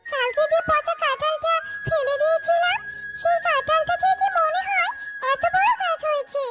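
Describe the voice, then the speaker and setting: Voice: very high voice, steady tone, moderate pace, music in the background. An actor voicing a sweet, eager little girl fox in a children's cartoon, childlike and coaxing. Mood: excited.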